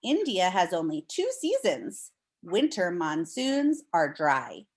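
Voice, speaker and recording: An American woman reading a non-fiction book aloud to young children as a teacher, explaining it in a deliberate way and addressing her listeners directly. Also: lowish voice, wildly swooping pitch.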